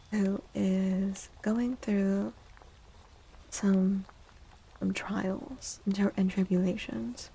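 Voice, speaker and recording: A woman speaking softly and breathily, often near a whisper, in a gentle ASMR livestream style. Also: medium pitch, vocal fry, husky, low-quality recording. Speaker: streamer and tarot reader. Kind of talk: soft-spoken ASMR livestream chat.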